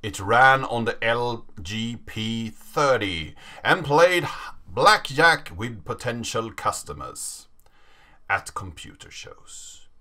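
A man in his thirties reads a story aloud in a dramatic, deliberate way. His voice is crisp-edged and bright, a little high for a man, with lively swings in pitch and a slow pace. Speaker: narrator reading a story aloud. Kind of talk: dramatic reading of a written story.

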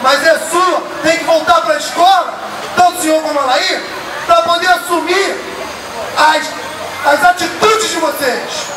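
A young man with a very high, strained voice shouts an accusatory rally speech into a handheld microphone. His voice booms through a loudspeaker and is picked up from far off, echoing around an open street. Crowd chatter and traffic noise sit underneath. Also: a little slow. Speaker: protest speaker. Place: outdoor street protest in front of city hall. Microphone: handheld microphone through loudspeaker, filmed from a distance.

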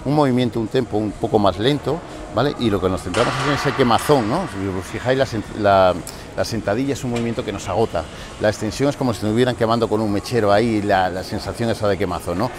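A man's voice: on the low side, fast talker, background noise. A man in his forties, a fitness coach, explaining leg exercises step by step in a large indoor gym on a lapel mic, often checking in with his listeners. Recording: music playing clearly behind.

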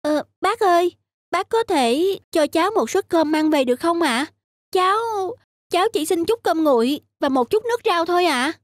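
Woman voicing a high, timid, polite little boy. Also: expressive, moderate pace. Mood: grateful, shy. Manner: hesitant, respectful. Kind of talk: cartoon voice acting.

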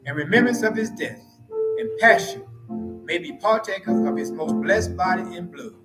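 A man serving as pastor, reciting a communion liturgy in a slow, solemn, measured way from the pulpit of a church sanctuary. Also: high voice, loud music behind the voice. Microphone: pulpit mic from far camera. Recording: roomy church echo.

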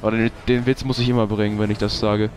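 A man's voice: lowish voice, flat delivery, fast talker, a little mellow. Young man with a cold, ranting. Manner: swearing, frustrated ranting. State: has a cold. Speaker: let's play host. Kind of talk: casual gameplay commentary.

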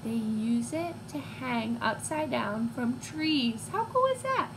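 A woman in her twenties reading a picture book to young children, expressive and teacherly, pausing to put questions to listeners. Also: a little high. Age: 20s. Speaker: read-aloud storyteller for young children. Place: small room at home, indoors. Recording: clear background noise, home recording.

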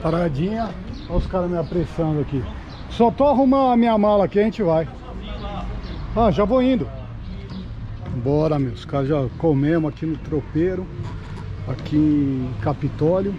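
A man's voice: on the high side, expressive, normal speed, pauses often, dull audio. A middle-aged Brazilian man chatting casually and jokingly in slang, vlogging outdoors on a motorcycle trip. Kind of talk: casual chat. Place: outdoors at roadsides and streets. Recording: background music, outdoor road noise.

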